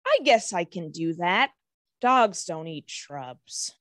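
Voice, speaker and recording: A woman voicing a timid, anxious little talking shrub with nervous, childlike pleading, in an animated fantasy table read. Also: fairly low pitch, slow, dry recording, clean studio sound. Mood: reluctant.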